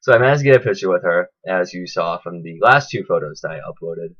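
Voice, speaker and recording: A man telling a casual, enthusiastic vlog recap in a chatty, animated way. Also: low-pitched, fast talker, highs cut off. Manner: animated, chatty, acts out quotes in raised voices. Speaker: vlogger.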